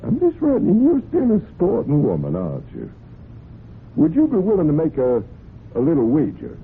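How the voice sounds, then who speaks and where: A man's voice: on the high side, huge pitch swings, normal speed, gravelly, exaggerated voice. An actor playing a genial, pushy horseman, folksy and insistent, in an old-time radio drama. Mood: insistent, confident. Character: persistent, cocksure horseman, genial but pushy buyer. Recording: muffled, noisy old radio broadcast.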